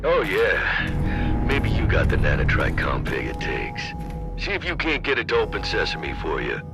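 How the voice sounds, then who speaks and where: An actor playing a gruff, folksy older war veteran marshal in a video game, with an American accent and a wry, slangy drawl. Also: male voice, sits low, wildly swooping pitch, normal speed, over loud music, full character voice. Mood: amused, folksy. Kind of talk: video game cutscene acting.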